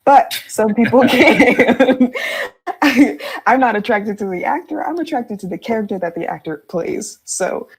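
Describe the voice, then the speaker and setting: A woman's voice: fairly high pitch, unhurried, breathy edge. A woman co-hosting a casual podcast chat, candid and slangy with a wry edge.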